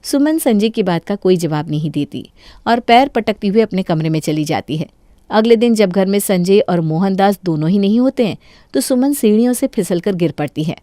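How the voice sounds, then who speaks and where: A woman's voice: medium pitch, expressive, moderate pace, warm tone, no echo. A female storyteller delivers scripted Hindi narration for a tale. The sound is very dry, with no room around the voice.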